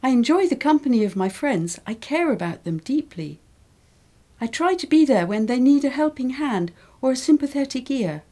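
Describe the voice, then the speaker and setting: A woman reads scripted lesson passages aloud in a mellow, warm tone that sits a little high. Her delivery is clear and careful, and her pitch rises and falls with lively movement as she works through each sentence. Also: normal speed. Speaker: reader of English learning texts. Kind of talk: scripted reading of model texts.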